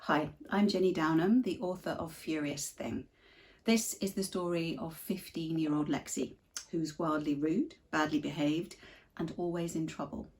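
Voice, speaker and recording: A woman with a low voice presents as an author. She introduces a book and sets a quiz question for listeners. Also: fairly even intonation, moderate pace, darkish tone.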